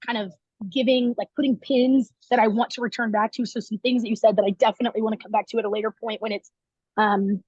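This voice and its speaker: A woman's voice: a little high, darkish tone, no echo, muffled recording. A young woman hosting a casual video interview, upbeat and chatty with plenty of fillers. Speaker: interview host.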